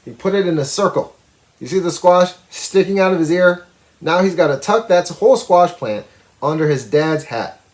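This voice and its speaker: Animated man reading a children's storybook aloud. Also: high voice, moderate pace. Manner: expressive, explaining pictures and words to young listeners. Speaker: storybook reader. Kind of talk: children's read-aloud with explanatory asides.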